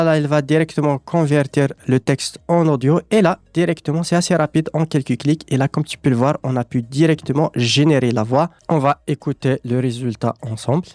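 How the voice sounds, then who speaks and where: A man presenting a software tutorial, explaining things step by step. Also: medium pitch, no echo.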